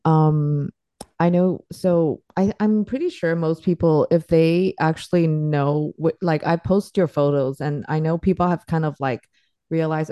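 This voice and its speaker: A woman hosts a casual podcast in a low, mellow voice. Her phrasing is informal and conversational, and the sound is very dry, with little room around it. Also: no room sound. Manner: informal, peppers talk with "like". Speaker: podcast host.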